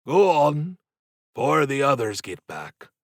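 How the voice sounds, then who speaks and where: A man reading an audiobook, voicing a sly, obsessed workman boss with a sharp, urgent, goading manner. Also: medium pitch, very animated, takes it slowly, vocal fry, no room sound, studio quality, pitched above the performer's own voice, full character voice. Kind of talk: audiobook character dialogue.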